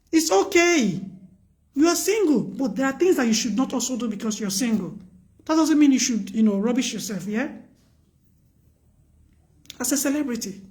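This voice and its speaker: A woman with a loud voice hosting a live stream, giving earnest, preachy relationship advice and repeating her points for emphasis. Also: sits high.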